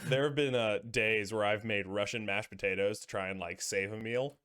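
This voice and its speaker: A man's voice: on the low side, steady tone, normal speed, a bit bright, smooth. A young man, a guest in a casual chat, laid-back and joking, swearing casually. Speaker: podcast guest.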